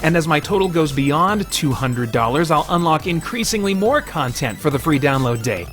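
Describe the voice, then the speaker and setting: An American man in middle age with a fairly high voice talks as the host of an online channel. He sounds earnest and conversational, addressing his audience directly, with light music in the mix. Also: a bit bright. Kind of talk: video commentary to camera, part casual musing, part fundraising pitch.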